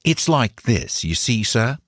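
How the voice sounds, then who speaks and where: A man's voice: medium pitch, sing-song, slow, no echo, studio quality, voice pushed up. A man voicing a respectful, confiding male servant in a storybook, reverent about his master. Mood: respectful, chatty. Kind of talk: storybook character dialogue.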